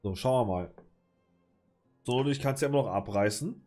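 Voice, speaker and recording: A man with a slight cold talks through his game in a loose, rambling way, stopping often to hunt for the right spot and swearing under his breath. His voice sits at an ordinary middle pitch. Soft game music plays quietly behind him. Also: normal speed. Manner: rambling, hesitant, lots of filler, mild swearing. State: has a cold, clears throat. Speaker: let's play gamer. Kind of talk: casual gameplay commentary.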